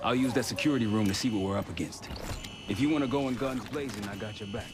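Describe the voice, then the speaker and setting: An actor playing a gutsy, wry covert operative in a video game, speaking casually and confidently. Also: male voice, on the low side, fast, over loud music, natural voice. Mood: grim, focused. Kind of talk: cinematic video game acting.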